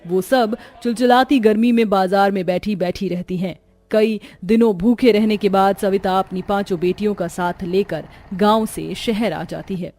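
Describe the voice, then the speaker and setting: A woman's voice: medium pitch, moderate pace, mellow. A woman reading a scripted dramatic story as its narrator.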